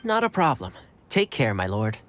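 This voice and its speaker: An actor playing a friendly, dutiful young retainer of a noble house in a video game. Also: male voice, mid-range voice, wildly swooping pitch, normal speed, a bit bright, faint music behind. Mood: obliging.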